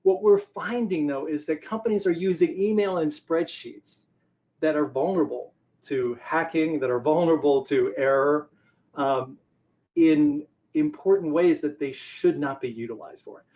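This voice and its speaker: A man's voice: on the high side, expressive, normal speed, darkish tone. An older man giving a conversational presentation on business technology, explaining things by posing questions and answering them. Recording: dull, lo-fi audio.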